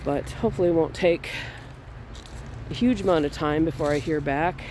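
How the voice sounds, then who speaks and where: A man from Minnesota, stuffy from a cold, chatting in a relaxed, rambling way on a walking vlog outdoors. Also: a little high, moderate pace, noisy background. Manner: relaxed, rambling, with small laughs and restarts. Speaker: artist vlogger. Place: outdoors in a cemetery on a fall day.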